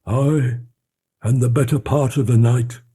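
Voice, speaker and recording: A man voicing a frightened, loyal old male butler who talks in a hushed, fearful way. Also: medium pitch, steady tone, unhurried, husky, pitched above the performer's own voice.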